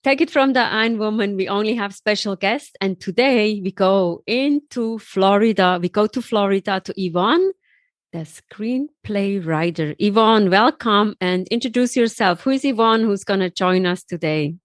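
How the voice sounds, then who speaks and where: A woman's voice: medium pitch, no room sound, clean studio sound. A woman born in Switzerland hosting a motivational podcast, speaking in an upbeat, encouraging way. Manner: upbeat, encouraging, slow deliberate intro. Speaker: podcast host.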